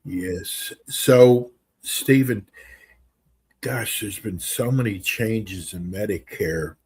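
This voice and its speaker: A man's voice: fairly low pitch, drawn-out words, a little mellow, breathy edge. A man in his sixties hosting a casual radio talk show, upbeat and earnest in a folksy way. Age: 60s.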